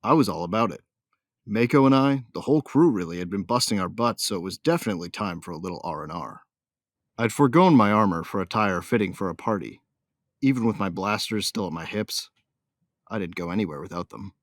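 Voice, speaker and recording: Deep-voiced cocky bounty hunter, acted by a man. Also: lively intonation, fairly quick, no echo, studio quality. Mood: smug, easygoing. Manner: brash, colloquial, hard-boiled. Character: cocky, hot-tempered bounty hunter narrating his story. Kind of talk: first-person dramatised audiobook narration.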